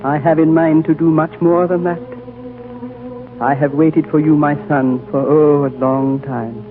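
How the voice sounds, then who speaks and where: Through a narrow, tinny old radio sound with music underneath comes an ancient lama. His voice is fairly high, gentle and solemn, with the fatherly weight of a prophet in a radio play. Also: fairly even intonation. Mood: grave, tender. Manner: slow-spoken solemn, fatherly, oratorical. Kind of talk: 1940s radio drama acting. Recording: tinny 1940s radio recording over music.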